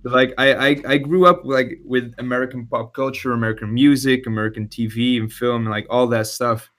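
A young man from Belgium with a Belgian Dutch accent, a musician talking casually as a podcast guest, halting with many fillers and restarts. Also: medium pitch, steady tone, a bit bright. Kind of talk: casual interview chat.

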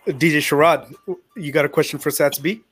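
A man moderating a panel, upbeat and quick to laugh. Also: a little high, fairly even intonation, fairly bright tone, slightly rough, faint music behind. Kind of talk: casual hosting.

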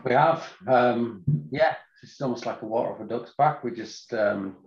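A man co-hosting a podcast, joking and relaxed as he chats over a video call. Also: medium pitch, moderate pace, roomy sound, muffled recording. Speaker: podcast co-host. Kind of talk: casual podcast conversation.

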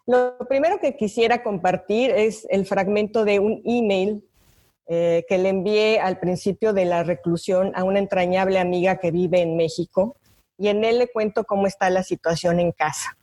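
A woman's voice: mid-range voice, steady tone, normal speed, dark tone, faint music behind. Older Mexican woman reading prepared reflections. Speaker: invited panelist, visual artist. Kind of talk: prepared reflections read aloud at an online talk.

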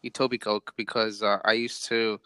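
A man's voice: fairly low pitch, flat delivery, normal speed, bright, forceful tone, clean tone, no room sound. A man in his thirties from Brooklyn, co-hosting a casual podcast in a friendly, hesitant way. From Brooklyn, New York. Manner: friendly, hesitant, many fillers. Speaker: podcast co-host.

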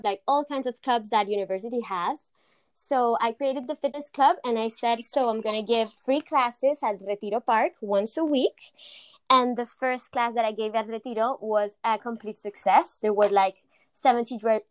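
Young Latin American woman founder, smooth voice. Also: a little high, no room sound. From Argentina. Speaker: founder of a fitness company. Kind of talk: interview answers.

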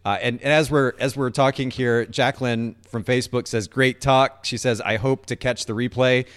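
A man's voice: mid-range voice, fairly even intonation, normal speed, clear, resonant tone. A man hosting a live-streamed podcast interview, enthusiastic and repeating words as he starts sentences. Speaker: podcast host.

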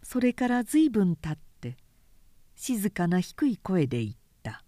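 A woman narrating a literary audiobook in a measured, deliberate storytelling manner. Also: medium pitch, sing-song, warm tone, dry recording, studio quality.

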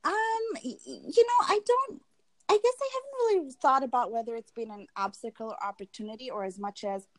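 A woman's voice: fairly high pitch, fairly quick, no room sound. A young woman from Tanzania, a guest in an interview, talking through her own experiences in a friendly, reflective way with many fillers. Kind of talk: candid personal interview.

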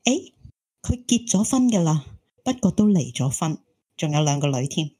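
A woman's voice: sits low, big pitch swings, moderate pace, mellow, husky, heavily acted. An actress playing a hopeful, romantic single woman in her thirties in an audio drama, teasing and exclaiming. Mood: hopeful, defensive. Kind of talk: Cantonese audio drama acting.

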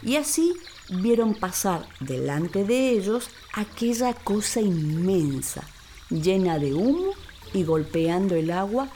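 A woman narrating a scripted children's story in an expressive, theatrical way. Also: mid-range voice, slow pace, slight background noise.

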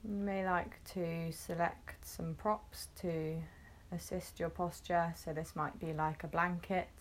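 A woman guiding a meditation, gently instructing in short phrases with long, unhurried pauses. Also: lowish voice, flat delivery, a little hiss or hum. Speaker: meditation guide. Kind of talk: guided meditation, soothing instruction.

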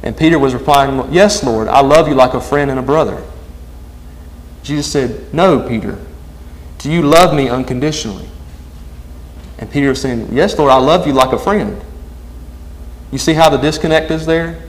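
A man's voice: medium pitch, normal speed, long pauses, rough recording. A man preaching a sermon, earnest and emphatic, often asking his listeners "right?".

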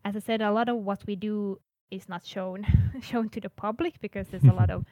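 A woman's voice: medium pitch, animated, moderate pace, mellow, no echo. Earnest Finnish woman answering interview questions. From Finland. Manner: earnest, laughs now and then, restarts words. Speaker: international services coordinator.

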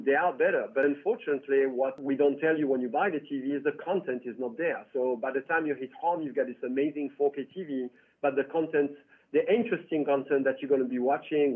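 A man from France with a French accent, a tech founder chatting through an interview with lots of "you know". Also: medium pitch. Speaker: tech company founder, guest. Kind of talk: casual interview. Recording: tinny phone-like line.

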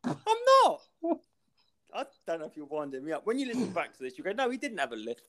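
A man's voice: on the high side, sing-song, fast, pushed and bright. A man, a podcast co-host in casual chat, ranting and rambling and breaking into laughter.

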